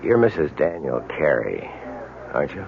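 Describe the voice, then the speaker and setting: A man playing a persistent, polite police lieutenant in a radio drama, speaking in a measured, insistent way. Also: extremely low pitch, big pitch swings, vocal fry, exaggerated voice. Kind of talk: 1970s radio drama acting. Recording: dull old radio, faint noise.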